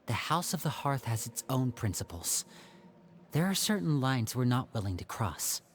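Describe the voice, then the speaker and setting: Composed young man with a lively lilt, voiced by a man. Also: mid-range voice, normal speed, slight background noise, close to an everyday voice. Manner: calm, reasoned, reassuring. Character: poised young man, calm and persuasive. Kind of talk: video game cutscene dialogue.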